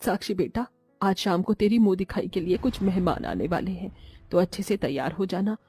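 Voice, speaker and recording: A woman voicing a snobbish, sharp-tongued middle-aged mother-in-law in a cartoon story, with a sarcastic, scolding manner. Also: medium pitch, brisk, husky, faint music behind. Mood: doting. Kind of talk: Hindi cartoon moral-story voice acting.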